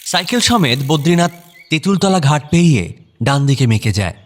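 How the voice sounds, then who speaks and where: A man's voice: fairly high pitch, very animated, brisk. Man narrating a story in long reverb. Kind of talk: dramatic scripted narration.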